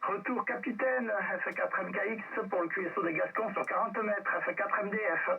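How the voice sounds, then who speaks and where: A man's voice: high-pitched, normal speed, creaky, slightly rough. A man chatting casually and a little hesitantly as he checks in over amateur radio. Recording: muffled, distant, faintly noisy radio transmission.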